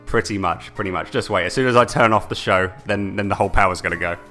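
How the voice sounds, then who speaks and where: A young British man co-hosting a casual live gaming stream, chatty and quick to laugh, often repeating words. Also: on the low side, pushed and bright, faint music behind. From United Kingdom. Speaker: co-host.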